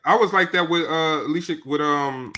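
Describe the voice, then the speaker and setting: A man's voice: a little high, normal speed, bright, forceful tone. A young man co-hosting a podcast, talking loosely with slang and lots of restarts. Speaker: podcast co-host. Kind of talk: casual chat.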